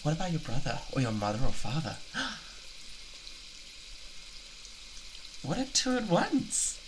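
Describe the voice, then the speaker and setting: A man with a British accent playing an obsessive, unhinged boyfriend, doting and menacing, in a halting, intimate ASMR roleplay. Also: a little high, wildly swooping pitch, a little hiss or hum, low-quality recording. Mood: menacing, gleeful. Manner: halting, giggly, theatrically coaxing.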